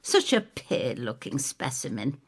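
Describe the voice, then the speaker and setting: A woman's voice: a bass voice, sing-song, unhurried, breathy edge, voice pushed down, exaggerated voice. A woman voicing a bitter, self-critical older woman with a rueful, tart and emphatic manner in an audio drama. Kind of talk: audio drama storytelling dialogue.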